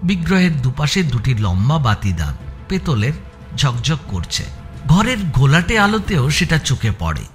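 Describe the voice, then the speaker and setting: A man narrating a scripted suspense audio story, with deliberate, descriptive phrasing. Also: on the high side, big pitch swings, faint music behind.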